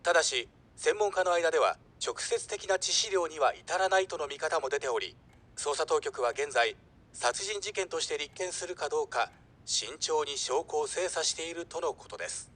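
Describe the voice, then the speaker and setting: An actor playing a composed news anchor in an audio drama, reading a report in a formal, measured way. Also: male voice, a little high, expressive, fairly bright tone. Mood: neutral, formal.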